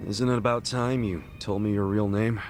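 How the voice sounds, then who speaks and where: A man's voice: fairly low pitch, fairly even intonation, normal speed, a little mellow, soft background music, natural voice. A man voicing a blunt, curious, easygoing friend in a video game cutscene, talking in a casual, slangy way. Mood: frank, casual.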